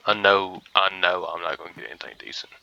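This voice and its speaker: A man's voice: low-pitched, fairly even intonation, quick pace, creaky, pushed and bright, muffled recording. A young man casually commentating a hunting game, groggy and rambling as if he just woke up. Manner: laid-back, rambling, mumbly asides. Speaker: gaming streamer.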